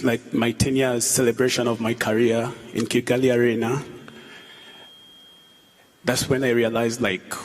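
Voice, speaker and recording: A Rwandan man in his thirties, a musician speaking on stage at a public event, halting, with fillers and repeated words. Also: mid-range voice. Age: 30s. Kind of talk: onstage talk at a public event. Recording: long hall reverb.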